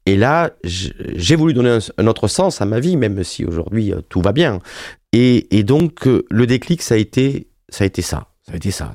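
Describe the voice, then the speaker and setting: A man's voice: fairly low pitch, vocal fry, a little mellow, no echo, clean studio sound. A man in his fifties, an author being interviewed on radio, talking with many hesitations and restarts. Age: 50s. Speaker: author and wine journalist.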